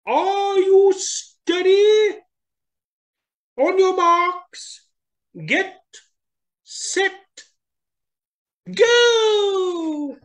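A shrill hooting owl. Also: female voice, exaggerated voice. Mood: excited. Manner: drawn-out shouted calls.

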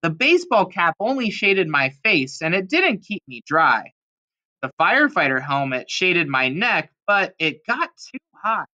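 A woman's voice: lowish voice, sing-song, unhurried, bright, forceful tone, lo-fi sound, exaggerated voice. A woman voicing a plucky, folksy cowboy in a children's storybook read-aloud. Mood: pondering. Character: folksy, plucky cowboy man thinking problems through.

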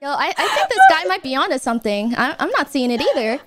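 A woman's voice: sits high, big pitch swings, quick pace, music in the background. A woman hosting a casual streamed chat with friends, rambling and playfully trash-talking.

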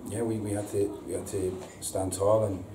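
Man in his thirties, post-match manager, hesitant and repetitive, low even voice, far mic in an echoey noisy room. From England. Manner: halting, repeats words, frequent ums. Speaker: football manager. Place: indoor press room before a sponsor board. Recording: echoey room, distant mic, audible hiss.

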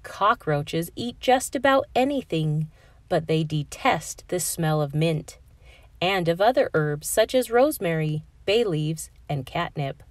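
A woman storyteller narrating a picture book for young children. She takes her time, phrasing carefully with an animated, melodic delivery. The sound is close and dry. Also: low-pitched, unhurried, no room sound. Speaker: read-aloud storyteller.

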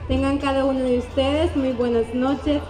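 A woman's voice: high voice, steady tone, normal speed, mellow, some chatter behind. An eighteen-year-old woman giving a rehearsed formal introduction as a pageant contestant. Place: outdoor evening plaza before a crowd. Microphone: handheld microphone.

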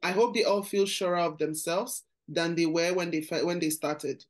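A woman's voice: fairly low pitch, fairly even intonation, moderate pace. A woman with a Nigerian accent hosting an interview podcast over a video call, enthusiastic, often repeating words as she searches for phrasing. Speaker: podcast host. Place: room with bookshelves and red sofa.

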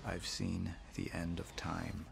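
An actor playing a ruthless middle-aged man who broods over controlling fate, narrating gravely and deliberately. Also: low voice, completely flat delivery, exaggerated voice. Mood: grave, cold. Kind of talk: dramatic cinematic narration. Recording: music under the voice.

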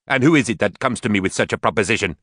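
Need a man doing a proud jailed mom, formal and measured, kinda low voice. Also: expressive, a bit bright, dry recording, clean studio sound. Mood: defiant. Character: proud imprisoned mother on trial, older woman, righteous and unbending.

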